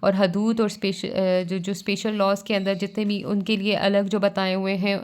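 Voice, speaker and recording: A woman with a Pakistani accent giving a lesson as a teacher, reading out passages and explaining them, switching between Urdu and slowly read English. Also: medium pitch, level tone, darkish tone, clear, resonant tone. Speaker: law teacher.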